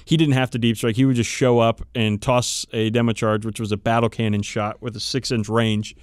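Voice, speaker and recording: A man's voice: mid-range voice, flat delivery, a little fast, clean tone, dry recording, studio quality. A man hosting a podcast, casually telling tabletop game lore with sweary enthusiasm. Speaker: podcast host. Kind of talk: casual chat about tabletop wargame lore.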